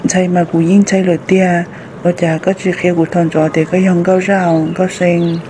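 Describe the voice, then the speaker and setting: A man's voice: high-pitched, little pitch movement, fairly quick, mellow, slight background noise, close to an everyday voice. A man voicing a young man courting a dragon maiden in an amateur cartoon dub, speaking in short, repeated phrases. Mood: earnest.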